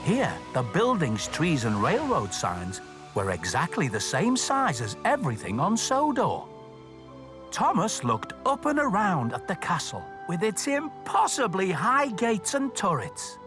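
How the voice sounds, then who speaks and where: Guy reading a kids story, really lively up and down voice, talks right to the little listeners, music behind. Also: high-pitched, measured pace. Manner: expressive, addressing young listeners directly. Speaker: storytelling host of a children's show, station worker persona. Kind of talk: children's story narration.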